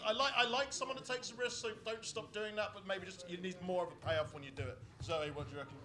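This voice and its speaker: A man's voice: sits high, fairly even intonation, fast talker, noisy background. A man hosting a comedy show and giving easygoing, encouraging feedback to an act. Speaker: comedy show host and judge. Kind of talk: casual feedback and compering.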